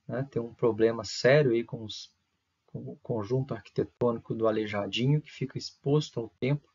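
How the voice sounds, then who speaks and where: Husky man giving a lecture. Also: medium pitch, normal speed, darkish tone, muffled recording. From Brazil. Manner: hesitant, many fillers and restarts, frequent tag questions. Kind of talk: recorded university lecture.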